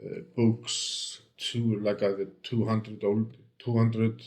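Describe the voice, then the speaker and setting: A man's voice: on the low side, flat delivery, slow pace, a little mellow. A man from Iceland with an Icelandic accent, giving casual interview answers in a hesitant way, with many fillers and restarts. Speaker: interview guest, book restorer. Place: home.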